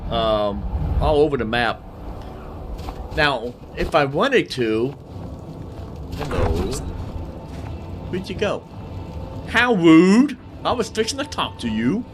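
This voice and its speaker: Slow, animated male gaming commentator. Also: a little high, faint music behind. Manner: rambling, repeats himself, talks back to game characters. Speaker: gaming host. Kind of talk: casual gameplay commentary.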